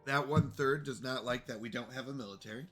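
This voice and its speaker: A man's voice: medium pitch, steady tone, fast, over music. A man with a congested voice chatting casually to viewers while playing a strategy game on a live stream. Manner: chatty, greets chat viewers.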